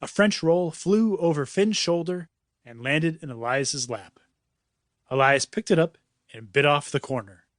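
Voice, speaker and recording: A man's voice: fairly high pitch, a little slow, dry recording, studio quality. A man reading a children's audiobook in a dramatic, playful storytelling manner. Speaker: author reading his own audiobook. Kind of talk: scripted children's story narration.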